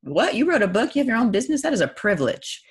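A woman's voice: a little high, very animated, racing. A woman in her forties whose first language is Farsi, hosting a casual talk and laughing often as she speaks. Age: 40s. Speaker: author and podcast host. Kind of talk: casual conversational interview.

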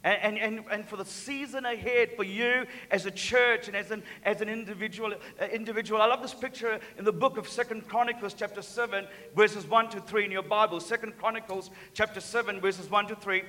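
Sri Lankan-Kiwi male preacher, thirties, bright forceful tone, fervent and repetitive, long hall reverb. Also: high-pitched, fairly even intonation, a little fast, hardly any pauses. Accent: Sri Lankan and New Zealand. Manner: stammering repeats, building to fervent shouts. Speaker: guest preacher. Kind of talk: sermon. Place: large church hall.

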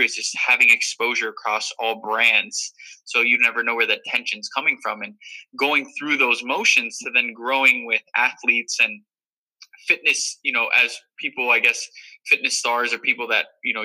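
Bright-voiced young man reflecting earnestly. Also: mid-range voice, poor audio. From Miami, Florida. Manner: earnest, reflective, many fillers. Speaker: guest. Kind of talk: casual interview talk.

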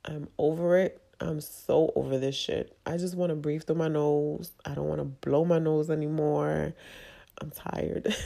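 Stuffy nasal woman, low gravelly voice, podcast host talking real candid and halting. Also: fairly even intonation, mellow. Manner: halting, confessional, with frequent fillers. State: congested from a long cold. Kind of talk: candid personal monologue.

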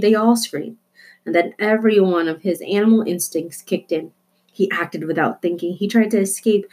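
A woman reading a children's novel aloud as an expressive storyteller. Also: mid-range voice. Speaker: storybook reader. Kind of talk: expressive children's book narration.

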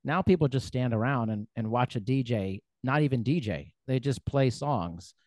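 A relaxed male podcast host with a middle-pitched voice who rambles through his thoughts with fillers. The recording is dry and close, with no room sound. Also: normal speed, no room sound. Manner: relaxed, rambling, laughs while talking. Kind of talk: casual podcast conversation.

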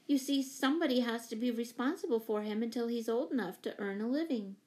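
A woman voicing a sensible, kindly mother in a children's storybook, serious, measured and firm. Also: fairly high pitch, dark tone, natural voice. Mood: troubled, serious.

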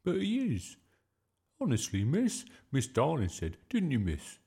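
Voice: mid-range voice, huge pitch swings, measured pace, dark tone, pitched above the performer's own voice. A performer voicing an eager, cheeky little schoolboy in a storybook, earnest and pleading. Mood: protesting.

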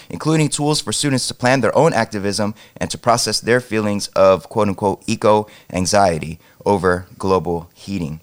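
A man co-hosting an education podcast, reading a news story in a steady, measured way with a casual tone. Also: lowish voice. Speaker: podcast co-host.

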